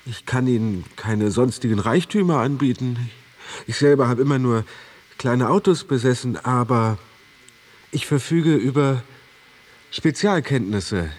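A man's voice: mid-range voice, unhurried, darkish tone, close to an everyday voice. An actor playing a condemned prisoner in a German radio drama, a politely scheming, boastful womanizer who talks in formal, elaborate phrases like an earnest salesman. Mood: earnest, boastful, persuasive.

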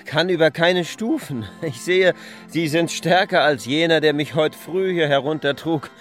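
An actor playing a polite, generous but insistent lame landlord, speaking formally in a radio play. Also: male voice, a little high, a little slow, soft background music, natural voice. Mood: chatty. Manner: formal, courteous.

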